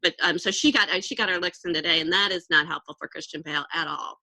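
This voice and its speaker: Woman guest, lower pitch, bright edge, dry humor, unhurried chat. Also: poor audio. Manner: measured, wry, chuckles, says "um" and repeats words. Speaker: guest commentator. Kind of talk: casual conversational commentary.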